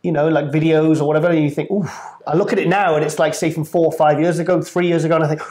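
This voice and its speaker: A man's voice: on the high side, fairly even intonation, very fast, darkish tone. A man co-hosting a casual podcast, chatty and agreeable. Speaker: co-host. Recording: echoey room.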